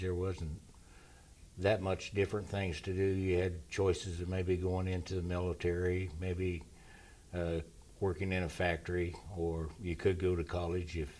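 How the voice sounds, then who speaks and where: Deep, flat-voiced grandfather from Indiana. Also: slight background noise. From Indiana, USA. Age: older. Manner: slow and measured, pauses to recall. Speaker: interview guest, military veteran. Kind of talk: relaxed reminiscing interview.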